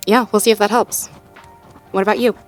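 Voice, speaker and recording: A woman playing a sweet, supportive girlfriend in an intimate audio roleplay, talking in a gently upbeat, conversational way as she thinks aloud. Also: medium pitch, steady tone, a little fast, faint music behind, close to an everyday voice. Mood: thoughtful, supportive. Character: sweet, supportive girlfriend working through goals with partner.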